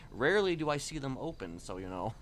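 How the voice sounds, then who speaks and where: A man's voice: mid-range voice, big pitch swings, a little fast, a crowd in the background. A man chatting casually in a role-play game, rambling and hesitant, padding his sentences with filler phrases. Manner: hesitant, rambling, often saying "you know". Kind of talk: casual in-character chat.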